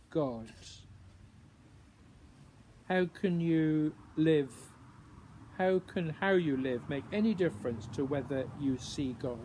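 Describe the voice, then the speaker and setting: Male poet for a spoken reading: unhurried, musing delivery with pauses, slightly high voice, some background noise. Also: dark tone. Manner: deliberate, pausing between short phrases, musing questions. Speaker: writer reading own poem. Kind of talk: introduced poetry reading, measured recitation.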